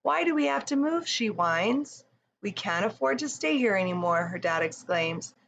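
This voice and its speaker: A woman's voice: mid-range voice, animated, normal speed, vocal fry, a bit bright, highs cut off, slight background noise. A woman narrating a children's book as a scripted read-aloud. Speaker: storybook narrator.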